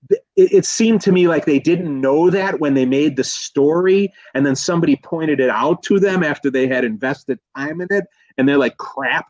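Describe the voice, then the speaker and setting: A man in a casual film discussion as a guest expert, speaking in a halting, rambling way and often correcting himself. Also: fairly high pitch, darkish tone, no echo, poor audio. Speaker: guest expert, college professor.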